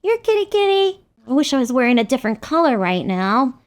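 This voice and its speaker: A woman's voice: high voice, sing-song, a little fast. A woman playing a playful, silly auntie sidekick in a children's show sketch, with exaggerated delivery.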